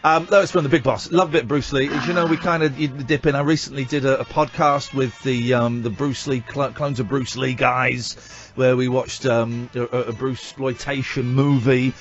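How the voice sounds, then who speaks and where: A British man hosting live radio, chatting casually in an excitable, blokey, bantering way. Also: mid-range voice, normal speed. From United Kingdom. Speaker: radio host. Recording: muffled lo-fi radio recording with music.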